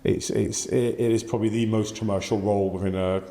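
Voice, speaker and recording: Mellow-voiced English man in an echoey room. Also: fairly low pitch, steady tone, a little fast. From England. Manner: thoughtful, with frequent fillers and restarts. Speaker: podcast guest. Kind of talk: conversational interview answers.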